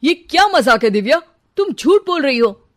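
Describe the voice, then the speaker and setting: A woman's voice: high voice, very animated, normal speed, dry recording, clean studio sound. A woman voicing a bragging, slippery young husband who glibly justifies himself in a cartoon story. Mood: indignant. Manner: glib, self-justifying. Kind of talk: cartoon story dialogue in Hindi.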